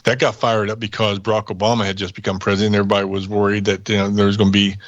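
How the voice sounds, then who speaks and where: A man's voice: lowish voice, little pitch movement, very fast, no room sound, muffled recording. A man talking casually as a guest, recounting his own experience.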